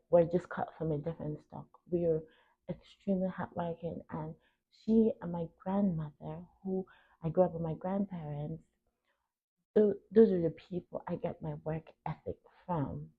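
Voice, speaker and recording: A young adult woman from Cameroon talks reflectively and earnestly as a podcast host, stressing her points and pausing between short phrases. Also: fairly low pitch, steady tone, vocal fry, slightly rough. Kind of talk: reflective personal talk. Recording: tinny phone-like narrow band.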